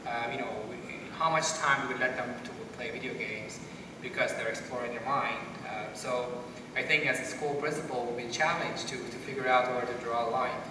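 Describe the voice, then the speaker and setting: A man's voice: mid-range voice, brisk. Distant middle-aged man in an echoey hall. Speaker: brain doctor on a panel. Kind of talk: panel discussion, explanatory talk. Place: school theater stage at a panel table. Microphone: distant from the speaker. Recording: echoey hall, distant mic, background noise.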